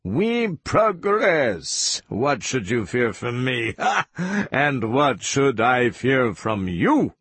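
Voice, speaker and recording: A man's voice: on the low side, big pitch swings, slow pace, a bit bright, slightly rough, no echo, heavily acted. A man voicing a cold, sadistic interrogator in an audiobook, smugly patient, taunting and commanding. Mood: gleeful. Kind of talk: audiobook character voice.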